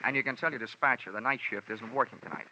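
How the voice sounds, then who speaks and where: An actor playing a tough, wry, world-weary insurance investigator in clipped old-time radio detective style. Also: male voice, mid-range voice, fairly even intonation, fast talker, sharp tone, a little hiss or hum. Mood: firm, hard. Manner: clipped, hard-boiled, wry. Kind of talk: 1940s-50s radio detective acting and narration. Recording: dull old radio recording with music.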